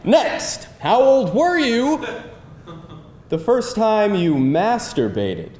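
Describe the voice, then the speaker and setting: A man's voice: high voice, very animated, extremely slow, darkish tone, full character voice. A man playing a cocky, playful guy who bosses and teases his date at a live screenplay table read. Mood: bossy, teasing. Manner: bossy, teasing game-show delivery. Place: indoor room before a live audience. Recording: echoey live room, faint noise.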